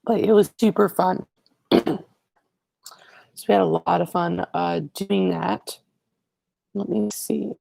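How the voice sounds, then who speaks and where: A teenage boy hosting a casual livestream, rambling and correcting himself as he chats to viewers, his voice raspy today. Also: sits high, fairly even intonation, takes it slowly, pauses often, darkish tone, dry recording, rough recording. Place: living room, indoors. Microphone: earbud headset mic, webcam.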